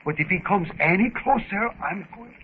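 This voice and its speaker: An actor playing a courteous, watchful French lieutenant with a French accent in a 1930s radio serial. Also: male voice, sits high, animated, normal speed. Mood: alert. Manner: polite, earnest, sprinkled with French phrases. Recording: muffled, noisy 1930s radio recording.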